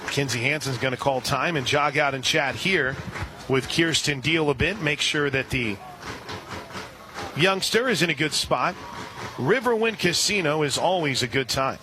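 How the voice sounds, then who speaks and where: A man doing radio play-by-play for a college softball game, steady and informative, reading sponsor spots between pitches. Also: normal speed, noisy background.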